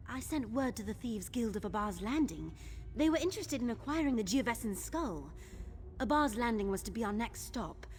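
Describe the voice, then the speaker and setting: A woman's voice: on the high side, a little mellow, slight background noise. A woman playing an eager, nervy young female thief in a video game, chatty and conspiratorial. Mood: alarmed, confused. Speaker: game character, thief companion.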